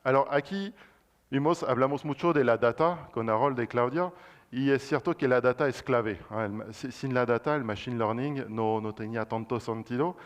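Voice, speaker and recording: Halting man presenting. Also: medium pitch, lo-fi sound. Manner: halting, many restarts, slips into French and English words. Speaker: guest speaker, cloud solutions architect. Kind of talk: university presentation and Q&A.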